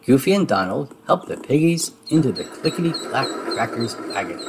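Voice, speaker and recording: A man reading a children's storybook aloud in expressive narration. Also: medium pitch, moderate pace, background noise. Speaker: storybook reader.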